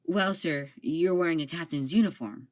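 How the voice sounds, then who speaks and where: A woman's voice: low voice, moderate pace, close to an everyday voice. A woman playing an eager young male ship officer. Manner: earnest, starstruck. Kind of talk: amateur audio drama acting.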